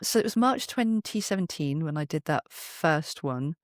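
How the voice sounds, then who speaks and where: A mid-pitched androgynous voice telling anecdotes casually as an interview guest, acting out remembered remarks. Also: normal speed, no echo, clean studio sound. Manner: animated storytelling, acts out remembered remarks. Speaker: guest, voice actor and narrator. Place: home recording booth.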